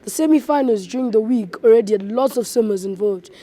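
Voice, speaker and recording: A woman's voice: a little high, fairly quick, mellow. A woman presenting scripted school newsreel narration as a news reader.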